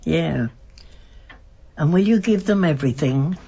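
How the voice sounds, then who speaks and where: An actress playing a frail, nosy, affectionate old woman with a husky, creaky voice in a radio play. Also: sits low, expressive, a little slow, warm tone, highs cut off, slight background noise, full character voice. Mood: curious, tender. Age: older. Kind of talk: naturalistic British radio drama acting.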